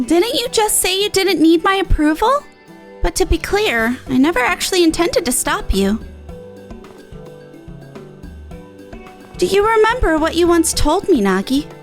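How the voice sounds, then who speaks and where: A woman voicing a gentle young female friend in a fantasy game, sweet and caring with a lightly teasing, expressive manner. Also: very high voice, normal speed, faint music behind, pitched above the performer's own voice, exaggerated voice. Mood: fond teasing. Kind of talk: fantasy game character read-aloud.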